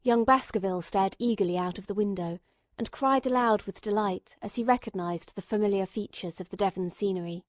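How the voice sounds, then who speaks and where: A woman narrates a classic novel as an audiobook. Her pitch sits in the middle for a female voice, and the recording is very dry, with no room around it. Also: a little fast, no echo. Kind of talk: scripted storybook narration.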